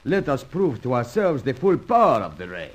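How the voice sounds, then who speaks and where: An actor playing a boastful, scheming villain with a superweapon in a radio serial, grandiose and gloating. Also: male voice, mid-range voice, wildly swooping pitch, normal speed. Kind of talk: old-time radio adventure serial acting.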